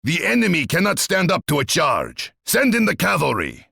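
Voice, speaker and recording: Casting: bloodthirsty warlord tsar for a strategy game; husky, pompous and sneering, with wildly swinging pitch. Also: male voice, huge pitch swings, normal speed, sharp tone, no room sound, studio quality, heavily acted. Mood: fierce battle command. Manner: pompous, menacing, declamatory.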